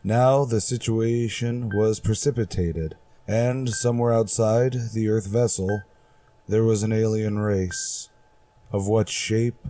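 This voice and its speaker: An actor playing a grave, dramatic announcer and narrator of retro sci-fi radio, solemn and portentous. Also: male voice, lowish voice, fairly even intonation, slow pace, mellow, slight background noise.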